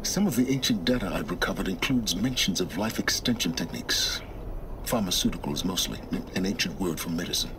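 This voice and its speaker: An actor playing a cold, secretive middle-aged male scholar of ancient ruins, measured and condescending. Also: low-pitched, lively intonation, noisy background. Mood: explaining. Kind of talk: video game cutscene acting.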